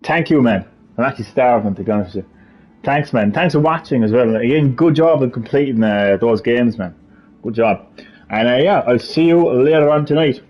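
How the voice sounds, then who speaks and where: Swearing Cork Irish streamer. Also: male voice, medium pitch, lively intonation, normal speed. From Ireland. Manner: profane, jokey, ranting. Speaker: livestream host. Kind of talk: casual live stream chat with viewers. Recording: slightly muffled stream audio.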